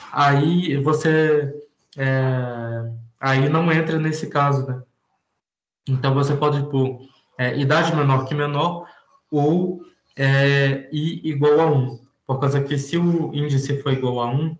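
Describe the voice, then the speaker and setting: A man explaining a programming point to students, pausing often with fillers. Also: medium pitch, reverberant, poor audio. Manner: halting, many "é" fillers while explaining. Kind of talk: informal programming lesson.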